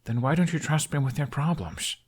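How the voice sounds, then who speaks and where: A man voicing an earnest, persistent young Viking who cares deeply and presses his point in an audiobook story. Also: fairly quick, slightly rough. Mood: gently pressing.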